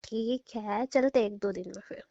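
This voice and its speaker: A woman's voice: medium pitch, normal speed, mellow. A young woman bantering playfully with her boyfriend in a casual phone call. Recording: dull lo-fi call recording.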